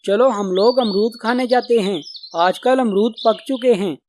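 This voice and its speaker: A woman voicing a sensible, obedient young male sparrow in a children's cartoon, earnest and gently chiding. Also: a little high, normal speed, a little hiss or hum, close to an everyday voice. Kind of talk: Hindi children's cartoon dubbing.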